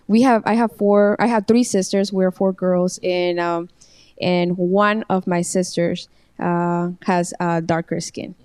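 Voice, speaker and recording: A woman's voice: on the low side, darkish tone, clean tone, dry recording. A woman who grew up in Mexico, speaking English with a Mexican Spanish accent as a guest in a casual panel talk, hesitant with many ums and restarts.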